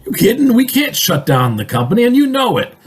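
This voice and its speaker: Man playing a high-pitched, blustering company boss. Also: wildly swooping pitch, a little fast, slightly rough, heavily acted. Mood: dismissive, blustering. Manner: bossy, sputtering, emphatic. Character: blustering, excitable male company boss, in denial then panicking. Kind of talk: radio drama acting.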